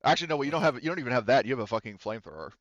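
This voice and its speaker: A man's voice: medium pitch, big pitch swings, racing, no room sound, muffled recording. A man running a tabletop roleplaying game as game master, explaining the situation conversationally.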